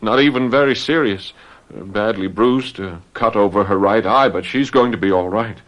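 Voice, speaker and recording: An actor playing a distraught young French gendarme in a radio mystery drama, stammering and agitated in a melodramatic way. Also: male voice, on the low side, normal speed, fairly bright tone, lightly acted, poor audio. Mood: relieved, anxious. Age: young adult.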